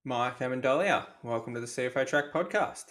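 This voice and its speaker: A man's voice: medium pitch, brisk, fairly bright tone. A man hosting a business podcast, asking questions and answering with short, friendly backchannels. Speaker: podcast host. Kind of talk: podcast interview.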